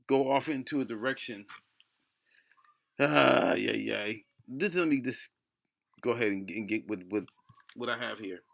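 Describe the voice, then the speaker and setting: A middle-aged man with a lisp talks in a bright, forceful voice edged with gravelly creak. He rambles through a blunt video-blog rant, digressing, cursing and restarting his thoughts. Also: mid-range voice. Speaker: solo video blogger. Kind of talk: rambling opinion rant to camera.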